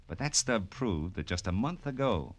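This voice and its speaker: A man's voice: fairly low pitch, big pitch swings, normal speed. A man playing a wry, world-weary American private detective in 1940s radio noir style, narrating in tough slang. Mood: breezy banter. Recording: vintage radio recording with music.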